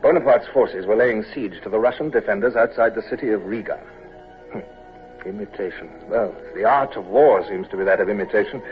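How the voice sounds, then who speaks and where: An actor playing a composed naval commodore who narrates and talks in a dry, thoughtful manner, in 1940s radio drama style. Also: male voice, fairly low pitch, a little fast, soft background music, natural voice. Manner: dry, wry, thoughtful, questioning. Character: composed British naval commodore, grown man. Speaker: narrator and lead. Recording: tinny lo-fi old radio recording.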